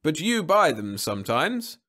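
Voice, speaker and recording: A man voicing a stern, icy supervisor who speaks briskly and demandingly in a science fiction story. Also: on the high side, big pitch swings, sharp tone, voice pushed up, full character voice.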